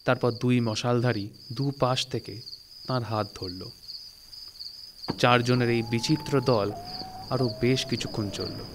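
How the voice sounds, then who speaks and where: A man reading a literary story as narrator, in a measured, phrase-by-phrase storytelling manner. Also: mid-range voice, fairly even intonation, background noise. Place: recording studio. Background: music.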